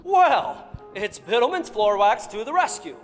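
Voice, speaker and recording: A man doing a chirpy old-time radio pitchman. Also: sing-song, measured pace, heavily acted. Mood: salesy. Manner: bouncy, salesy, exaggerated pitch. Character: peppy 1940s radio announcer selling floor wax. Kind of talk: 1940s radio ad announcing, live on stage. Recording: theater hall reverb with faint music.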